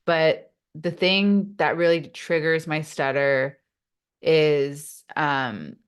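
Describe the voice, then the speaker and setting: A woman who stutters speaks as a podcast guest, her voice sitting deep and her pace slow. She talks reflectively, filling pauses, and catches on opening sounds before pushing through. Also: smooth. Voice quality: stutters, with repeated sounds and blocks. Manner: open and reflective, with many fillers. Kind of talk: casual interview talk.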